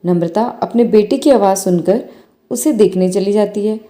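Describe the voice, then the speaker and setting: A woman's voice: medium pitch, normal speed, warm tone. A woman storyteller reading a scripted bedtime moral story. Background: faint music.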